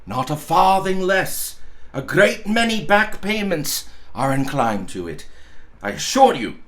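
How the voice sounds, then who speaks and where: A man voicing a reformed old miser in a storybook reading, eager, effusive and courteous, with slightly halting speech. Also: on the high side, big pitch swings, fairly bright tone. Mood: humble, earnest. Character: reformed old miser Scrooge, giddy, kindly, eager gentleman.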